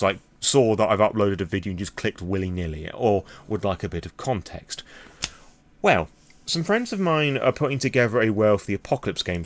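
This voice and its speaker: A man with a British accent and a fairly low voice talks in an unscripted vlog style. He is candid and informal, wandering through asides with self-deprecating remarks and casual swearing. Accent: British English. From England. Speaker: YouTube vlogger on tabletop roleplaying games. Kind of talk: impromptu casual vlog talk.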